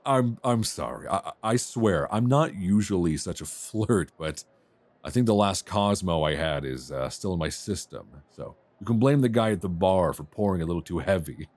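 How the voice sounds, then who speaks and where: A friendly, flirtatious traveller acted by a man with a deep voice and lively intonation. He is chatty and self-effacing, hesitating as he goes, recorded close and dry for intimate ASMR roleplay. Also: normal speed, no echo. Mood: flirty, sheepish. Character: friendly, flirtatious rough-and-tumble male traveller, a writer. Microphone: close binaural microphone.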